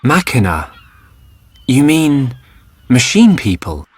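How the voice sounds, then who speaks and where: Earnest young hero, animated. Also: medium pitch, slow, husky, dry recording, exaggerated voice. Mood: puzzled, concerned. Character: earnest, friendly teenage boy hero. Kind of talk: video game cutscene acting.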